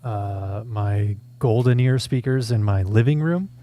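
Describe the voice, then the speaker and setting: A man's voice: fairly low pitch, unhurried, dark tone, a little hiss or hum. A man co-hosting a show, asking questions and chatting casually in an interview.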